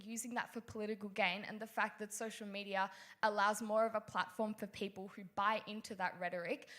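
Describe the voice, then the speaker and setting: A woman's voice: medium pitch, sharp tone. A young woman, a university debater arguing animatedly and filling gaps with "you know" and "like". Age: young adult. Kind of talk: debate speech.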